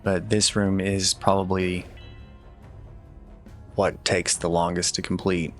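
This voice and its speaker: A man giving casual, matter-of-fact video game walkthrough commentary in a low-key instructional way. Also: level tone, slow, a bit bright. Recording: voice over game music. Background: video game music.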